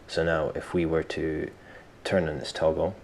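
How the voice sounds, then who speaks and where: A man presenting a software tutorial step by step, informally thinking aloud as he explains. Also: rumbling low, little pitch movement, normal speed, gravelly, slight background noise. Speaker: tutorial host.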